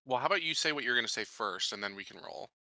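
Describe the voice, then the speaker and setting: A man playing a tabletop roleplaying game, improvising dialogue deliberately and pausing to pick his words. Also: medium pitch, animated, a loud crowd. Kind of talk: casual in-character improvised dialogue and game talk.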